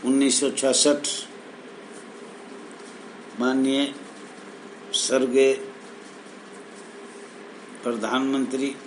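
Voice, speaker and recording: Slow-talking man over background noise. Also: medium pitch, level tone, rough recording. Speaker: presenter explaining rules to fellow members. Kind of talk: reading aloud and explaining official documents.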